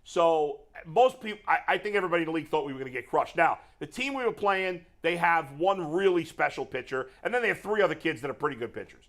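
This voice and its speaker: A middle-aged man with a New York accent and a deep voice, though it sits a bit higher than most men's. He rants in loose, exasperated bursts as a talk show co-host. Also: fast, hardly any pauses. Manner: animated, exasperated ranting. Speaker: sports radio co-host. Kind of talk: casual ranting chat.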